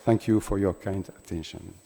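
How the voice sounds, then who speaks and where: A man reading a prepared conference speech in a measured, formal manner. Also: deep voice, steady tone, gravelly, dark tone. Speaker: conference speaker. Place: large conference hall. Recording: long hall reverb.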